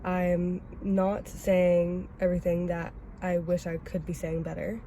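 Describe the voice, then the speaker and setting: A young woman with a cold, sounding raspy and stuffy, chatting casually and rambling in a self-critical way as she vlogs from her car. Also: on the low side, monotone, measured pace, mellow, slight background noise. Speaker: vlogger host. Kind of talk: casual oversharing vlog talk. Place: inside a parked car.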